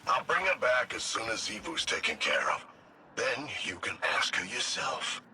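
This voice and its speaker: A man's voice: medium pitch, big pitch swings, moderate pace, very noisy, close to an everyday voice. Man voicing a snide, swooping villainous spirit. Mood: guarded. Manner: sneering, sarcastic, taunting. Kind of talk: scripted video game voice acting.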